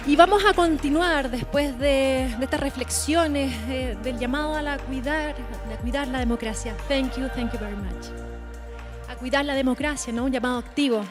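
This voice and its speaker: A woman's voice: sits high, normal speed, music in the background. A woman hosting a live conference, announcing speakers and addressing the audience. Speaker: event host. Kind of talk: live event presenting. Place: large conference hall.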